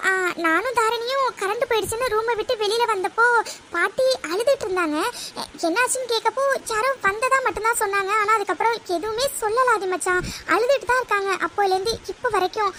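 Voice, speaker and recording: A woman's voice: extremely high pitch, fast talker, sharp tone, slight background noise. A woman playing an anxious, gossipy young woman in a comic family audio drama, talking in a fretful, conspiratorial, colloquial way. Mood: nervous explaining. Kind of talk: melodramatic comedy audio drama.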